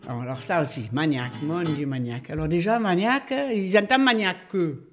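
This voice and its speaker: A woman's voice: low voice, a little fast, faint music behind. Chatty woman telling anecdotes. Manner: chatty, storytelling.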